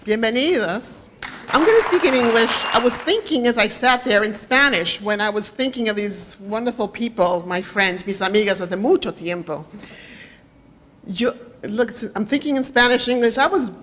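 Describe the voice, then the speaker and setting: A woman's voice: on the high side, lively intonation, normal speed, background noise. Dominican woman rambling through a thank-you speech at an event, bits of spanish, faint crowd behind. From Dominican Republic. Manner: warm, rambling, mixes in Spanish. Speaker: judge and honoree speaking at a ceremony. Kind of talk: off-the-cuff ceremony speech.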